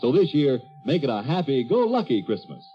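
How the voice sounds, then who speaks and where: A man reading a 1940s radio advertisement as an announcer, with an emphatic, deliberate, husky sales delivery. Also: medium pitch, big pitch swings, slight background noise. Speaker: commercial announcer. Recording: dull old 1940s radio recording.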